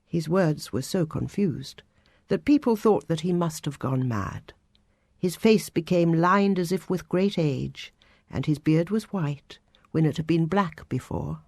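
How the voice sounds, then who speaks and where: Slow, low-voiced woman storyteller. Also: animated, darkish tone, dry recording, studio quality. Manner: measured, deliberate. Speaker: audiobook storyteller. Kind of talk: scripted story narration, read aloud.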